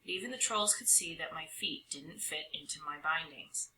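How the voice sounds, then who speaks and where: Animated, very bright-voiced woman reading a storybook. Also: sits low, moderate pace, slight background noise. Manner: dramatic, with stretched words and hushed drops. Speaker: storybook reader. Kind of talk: expressive children's read-aloud narration. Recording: roomy echo.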